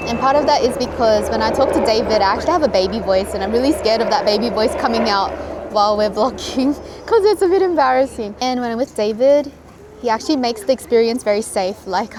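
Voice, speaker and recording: A woman's voice: on the high side, noisy background. A woman travel vlogger talking casually to the camera, chatty and upbeat with light giggles. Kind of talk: casual vlog chat to camera. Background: background music.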